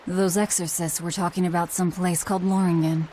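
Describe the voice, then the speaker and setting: An actress playing a cool, hard-edged young antiheroine in a video game, curt, dry and commanding. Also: female voice, fairly low pitch, flat delivery, fairly quick, mellow, slight background noise, lightly acted. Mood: flat, cool. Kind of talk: English video game voice acting.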